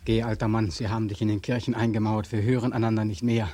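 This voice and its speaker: Casting: despairing young ex-soldier, played by a man, mellow husky voice, anguished and sardonic, for vintage radio drama in a dry studio. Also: on the low side, level tone, quick pace, no echo, natural voice. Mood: resigned, weary. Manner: anguished, accusing, sardonic, rhetorical repetition. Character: bitter, despairing young war veteran, dying in the street. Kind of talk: 1940s German radio drama acting.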